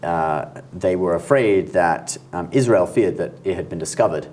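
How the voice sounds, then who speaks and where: A man's voice: low-pitched, moderate pace, a little mellow. A man co-hosting a news discussion show in an informal, conversational and explanatory way. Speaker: show co-host.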